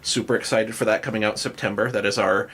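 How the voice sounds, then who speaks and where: Bright-voiced man hosting a podcast. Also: on the low side, steady tone, quick pace, slightly rough. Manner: joking, self-deprecating. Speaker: podcast host. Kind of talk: casual podcast chat.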